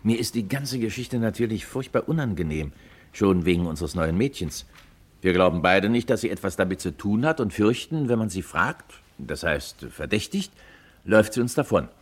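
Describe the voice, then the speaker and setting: Perplexed gentleman, low-pitched, expressive intonation, careful emphatic explaining, confiding, 1950s radio drama. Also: normal speed, slightly rough. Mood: troubled. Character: puzzled, well-off husband confiding in an old friend. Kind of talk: 1950s German radio crime drama acting.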